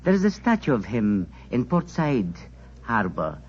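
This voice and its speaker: An actor playing a courteous, learned, formal prime minister in a 1940s radio drama, speaking precisely. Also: male voice, medium pitch, sing-song, measured pace, a little mellow. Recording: muffled, noisy 1940s radio recording.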